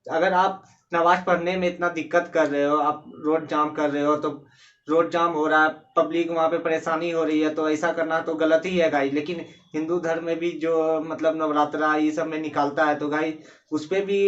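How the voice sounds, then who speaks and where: Flat-toned man, casual reaction host. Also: on the high side, moderate pace. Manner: hesitant, repeatedly addresses viewers. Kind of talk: casual, unscripted reaction commentary. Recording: slightly dull, lo-fi sound.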